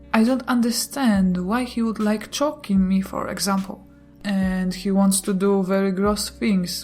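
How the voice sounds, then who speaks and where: Woman reading posts, non-native English. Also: mid-range voice. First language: not English. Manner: steady reading with brief chatty asides. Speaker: YouTuber reading Reddit posts. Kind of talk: reading posts and comments aloud.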